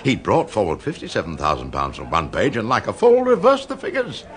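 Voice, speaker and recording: An elderly English office clerk in a radio drama, low-voiced and swinging dramatically in pitch. He is chummy, indignant and scheming, with a blustering old-boy manner. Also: wildly swooping pitch, brisk. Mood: indignant, conspiratorial. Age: 60s. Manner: old-fashioned clubby English speech, blustering. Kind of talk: radio play acting.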